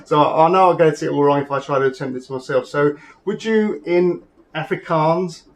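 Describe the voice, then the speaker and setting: Male host from the uk, friendly, self-deprecating, slightly high voice. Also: moderate pace. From United Kingdom. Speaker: show host, interviewer. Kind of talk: interview questions.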